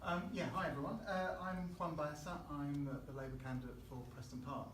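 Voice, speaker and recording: A man's voice: a little high, fairly even intonation, brisk, fairly bright tone. A man from Chile, a candidate speaking on a panel, thoughtful and hesitant, often restarting his sentences. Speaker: election candidate. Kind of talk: unscripted panel speech. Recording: echoey hall, mic far off. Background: faint room noise.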